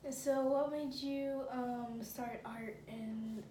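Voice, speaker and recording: Woman, slightly high voice, slow hesitant questions, mellow tone, echoey room with faint ambience. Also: fairly even intonation, a little hiss or hum. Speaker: interviewer. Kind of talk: interview questions.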